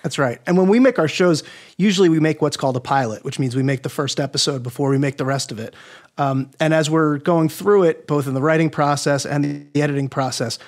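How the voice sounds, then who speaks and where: A man's voice: fairly high pitch, brisk. A man giving casual, agreeable explanations as an expert guest, often posing rhetorical questions. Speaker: guest expert, audio drama maker. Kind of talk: casual explanatory interview talk.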